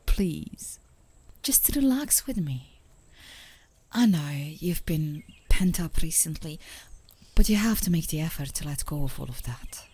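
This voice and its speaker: Woman acting as affectionate girlfriend, soft breathy half-whisper, mellow low-leaning tone, playful coaxing, intimate ASMR roleplay. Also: full character voice. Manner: soothing, playfully coaxing, with small chuckles. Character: gently coaxing, teasing, affectionate girlfriend on a park date.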